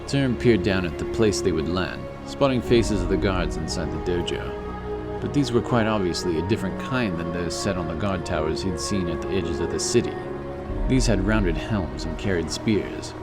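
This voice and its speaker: A man's voice: deep voice, normal speed, loud music behind the voice. A man giving scripted narration for a fantasy audiobook, in the role of storyteller.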